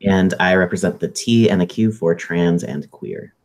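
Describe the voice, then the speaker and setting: A thirty-year-old man co-hosting a casual live stream, speaking in a measured way with ums. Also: sits low. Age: 30s. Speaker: co-host and social work intern.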